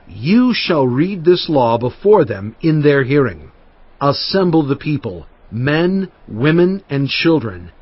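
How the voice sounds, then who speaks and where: A man's voice: medium pitch, no echo. An actor playing an aged prophet and leader, solemn and fervent, addressing his people in a dramatized scripture reading. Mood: instructing. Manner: solemn, emphatic oratory. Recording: muffled audio with music underneath.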